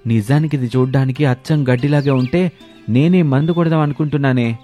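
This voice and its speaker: A man's voice: mid-range voice, a little fast, warm tone, faint music behind, natural voice. A man voicing a curious, easygoing young husband with a questioning, mildly surprised manner in a story cartoon. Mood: puzzled.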